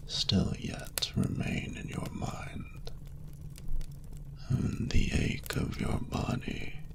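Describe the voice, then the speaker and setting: A man voicing a suave, doting dragon lover in an intimate romantic audio roleplay, speaking formally and seductively in a breathy, gravelly half-whisper. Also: very high voice, heavily acted, low-quality recording. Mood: sultry, seductive. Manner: slow, breathy, formal archaic phrasing, seductive.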